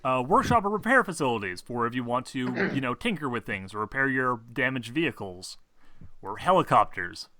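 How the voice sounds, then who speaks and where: A man's voice: mid-range voice, expressive, fairly quick, bright, forceful tone, slight background noise. A man working as game master, explaining tabletop game rules informally in a hesitant, rambling way with casual swearing.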